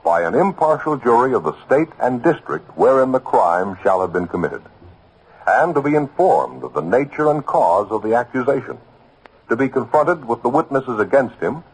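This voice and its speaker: A man's voice: medium pitch, poor audio. An American man delivering an earnest, emphatic scripted radio announcement in 1950s style, addressing the listener directly with rhetorical questions. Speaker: announcer of a public service message. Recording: old radio broadcast, slightly husky sound.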